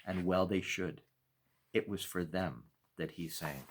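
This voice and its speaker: Man, low pitch, children's storytime narration, measured, expressive, deliberate pauses. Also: background noise. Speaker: pastor reading a children's story. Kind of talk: storytime read-aloud narration.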